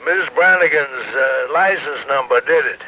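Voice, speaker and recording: An actor playing a sheepish, bumbling police sergeant on the telephone in an old radio drama, hesitant and deferential. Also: male voice, mid-range voice. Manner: hesitant, deferential, slow-witted. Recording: thin, noisy telephone-like band.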